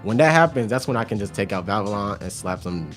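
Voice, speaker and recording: A man's voice: lowish voice, expressive, fast, fairly bright tone, faint music behind. A young man guesting on a radio show, talking casually about his clothing brand with lots of fillers and restarts. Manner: laid-back, rambling with many fillers. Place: radio studio.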